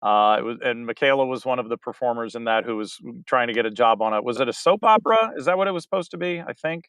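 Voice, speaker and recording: A middle-aged man with a medium-pitched voice talks in a loose, rambling way. He stops often to restart his sentences and fills the gaps with ums and uhs. The sound is dry and close. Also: fairly bright tone, smooth, dry recording. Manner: rambling, halting, many fillers and restarts. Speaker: interview guest, theater director. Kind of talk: casual interview talk.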